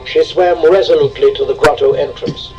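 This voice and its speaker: A man's voice: fairly high pitch, fairly even intonation, fairly quick, highs cut off. A man narrating a scripted children's read-along story. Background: prominent music.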